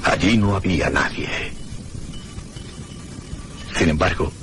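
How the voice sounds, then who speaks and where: A man acting a frightened narrator in a suspense radio play, telling his haunting in a deliberate, literary way. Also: low-pitched, over music. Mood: fearful resolve. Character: fearful man narrating a ghostly haunting at night. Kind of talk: dramatic first-person horror radio play narration.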